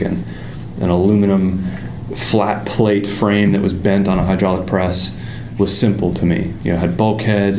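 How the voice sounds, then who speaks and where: A man with a fading Pittsburgh accent telling his story in a relaxed interview, with frequent fillers and restarts. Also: deep voice, reverberant, slight background noise. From Pittsburgh area, United States. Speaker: motorcycle designer, guest. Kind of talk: casual interview conversation.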